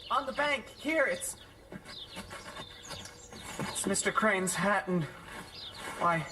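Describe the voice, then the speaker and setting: A man's voice: high-pitched, lively intonation, takes it slowly. An actor playing an earnest, worried young village man in a theatrical audio drama, speaking with urgency. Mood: urgent, alarmed. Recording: distant from mic, loud music behind.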